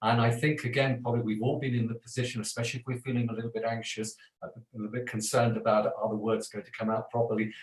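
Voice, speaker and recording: A man's voice: mid-range voice, level tone, fast talker, reverberant, low-quality recording. A man teaching a live voice workshop as a voice coach, talking in a chatty, self-deprecating way and laughing often. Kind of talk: live online workshop talk.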